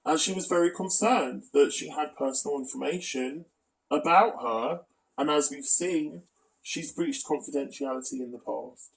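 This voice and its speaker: A man's voice: a little high, moderate pace, creaky, poor audio. A man giving a critical, matter-of-fact spoken commentary, presenting evidence as in an online exposé.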